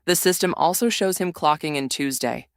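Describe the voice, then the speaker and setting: A woman giving solemn, measured, literary narration for a scripted audio drama. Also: sits low, no echo, studio quality.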